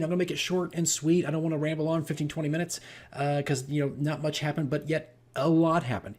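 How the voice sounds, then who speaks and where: A man with a moderately high voice hosts a casual, informal diary-style vlog. He tells stories as a dungeon master in a rambling, self-deprecating manner. Key phrases get repeated for emphasis. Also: darkish tone.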